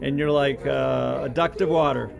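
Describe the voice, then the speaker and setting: A man interviewing a customer for a sauna company in a casual, friendly way, laughing often and prompting quickly. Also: mid-range voice, fairly even intonation, vocal fry, crowd noise.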